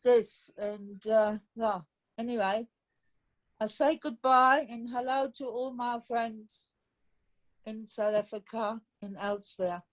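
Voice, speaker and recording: A woman's voice: on the high side, takes it slowly, low-quality recording. A woman sending a spoken farewell message as a mourner, faltering and emotional.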